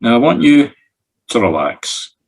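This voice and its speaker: A Scottish man leading a guided meditation as a medium, giving instructions in short, separate phrases with a husky voice. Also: medium pitch, big pitch swings, unhurried, poor audio. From Scotland. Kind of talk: guided meditation, improvised narration. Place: recorded over a video call.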